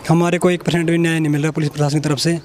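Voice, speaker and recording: A man's voice: a little high, little pitch movement, very fast, a little mellow. A man being interviewed, giving an earnest, orderly statement. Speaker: interviewee, family member.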